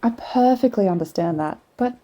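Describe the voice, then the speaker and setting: Actress as teasing young sidekick, very dark soft-edged tone, husky, lively and sardonic. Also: female voice, mid-range voice, moderate pace, natural voice. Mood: wry annoyance. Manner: wry, sardonic. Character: dry, teasing young female travelling companion. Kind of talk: audio drama acting.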